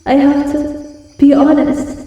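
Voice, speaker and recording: A woman voicing a shy giantess wolf girl in a slow, hesitant ASMR roleplay. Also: high-pitched, completely flat delivery, mellow, soft background music. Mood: nervous, earnest. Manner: slow, hesitant, stammering when flustered. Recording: heavily echoing, distant-sounding voice.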